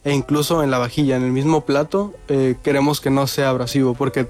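A man's voice: medium pitch, little pitch movement, fairly quick, darkish tone, faint music behind. Mexican man answering interview questions. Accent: Mexican Spanish. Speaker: interview guest, restaurant founder.

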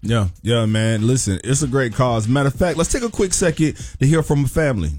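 A man's voice: medium pitch, lively intonation, a little fast, darkish tone. Upbeat slangy radio host man. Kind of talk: radio talk.

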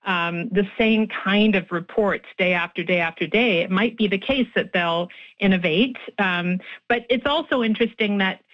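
A woman's voice: medium pitch, normal speed. Thoughtful woman linguist, slightly muffled. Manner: thoughtful, many restarts and fillers, laughs easily. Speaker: linguist guest. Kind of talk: radio interview, explaining research.

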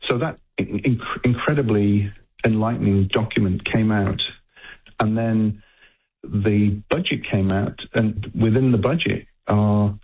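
An older man with a Liverpool accent speaks haltingly as a scientist guest on a phone interview. His low voice is gravelly and husky, flat in tone. The sound is thin and tinny, like a phone line. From England. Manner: halting, repeats words while thinking.

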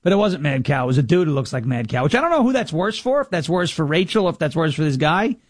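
High voiced guy ranting on talk radio, indignant and mocking, dead dry sound. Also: sing-song, fast talker, no echo. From New York, Long Island. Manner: sarcastic, indignant, mocking impressions. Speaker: radio talk show host. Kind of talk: political talk radio monologue.

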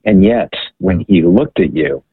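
Deep gravelly man on a phone line. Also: expressive, no room sound. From Hawaii. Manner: earnest, measured storytelling. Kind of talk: radio interview by phone. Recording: tinny, dry phone line.

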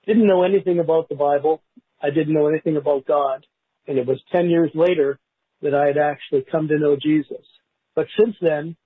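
A man in his sixties giving a heartfelt personal testimony in a voicemail, halting and pausing mid-sentence. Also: on the high side, slightly rough, no echo. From Canada. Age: 60s. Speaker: listener leaving a voicemail, school bus driver. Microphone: phone. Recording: tinny, narrow phone voicemail line.

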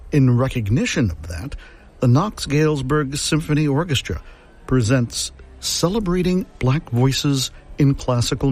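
A man hosting a classical music radio program, reading a measured, informative script. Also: mid-range voice, a little mellow.

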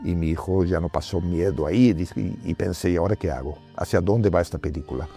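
Director, deep mellow voice, musing interview talk. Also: male voice, brisk, gravelly, faint music behind. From Brazil. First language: Portuguese. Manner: thoughtful, tags phrases with a questioning "no?". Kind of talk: reflective interview answers.

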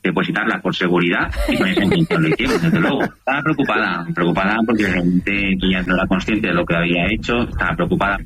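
Cop guy, low voice, talks fast, bit of noise behind him. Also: expressive, fairly bright tone. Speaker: police officer. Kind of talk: spoken account to reporters. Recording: news clip with clear background noise.

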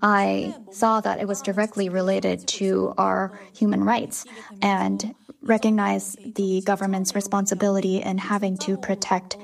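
A woman's voice: mid-range voice, flat delivery, unhurried, creaky. A woman interpreting a guest's interview answers, speaking in the first person on their behalf.

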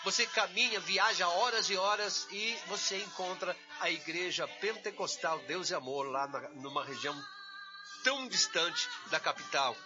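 A man's voice: high-pitched, moderate pace, lo-fi sound, music in the background. A Brazilian man, a pastor, emphatically announcing a church event and repeating phrases to exhort listeners. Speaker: pastor and co-host.

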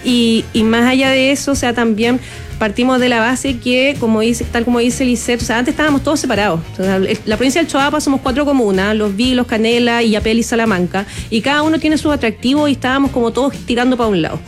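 A woman with a Chilean accent talks as a guest on a radio show, her speech eager and flowing. Her voice sits a little high. Faint music hums underneath her. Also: fast talker. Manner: enthusiastic, flowing, with Chilean fillers. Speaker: tourism entrepreneur guest. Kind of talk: radio interview.

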